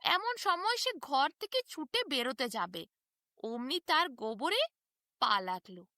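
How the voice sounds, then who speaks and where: Female storyteller for children's fairy tales; high, very lively delivery, dry close sound. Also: measured pace, no room sound. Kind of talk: animated children's fairy tale narration.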